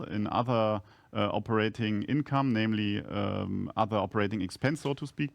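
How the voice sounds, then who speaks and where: A man speaking as a company executive on an earnings call, explaining accounting matters haltingly with broken-off phrases. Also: fairly low pitch, creaky. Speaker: company executive answering analysts.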